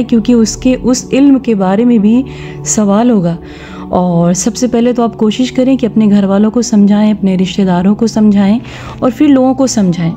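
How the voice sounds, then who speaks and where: A woman's voice: medium pitch, warm tone. A woman narrating a vlog, giving instructive advice directly to her viewers. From India. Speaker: vlogger. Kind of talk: vlog voice-over with gentle religious advice.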